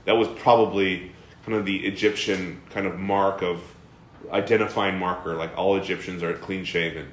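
A man leading a Bible study, explaining a passage with lively questions to the class and frequent fillers and restarts. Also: low-pitched, moderate pace, roomy sound, a little hiss or hum. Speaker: bible teacher. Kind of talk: interactive bible study teaching.

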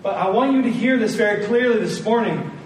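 A roomy, slightly noisy recording of a man delivering a sermon. He is a pastor with a high-set voice, earnest and emphatic, repeating his key phrases for weight. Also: brisk. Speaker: pastor preaching to his church. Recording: roomy, distant mic, faint noise.

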